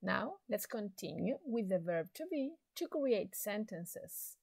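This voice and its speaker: Slow woman teaching English. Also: medium pitch, big pitch swings, gravelly, darkish tone, dry recording. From Chile. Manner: slow, deliberate, encouraging teacher talk. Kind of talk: slow recorded classroom lesson.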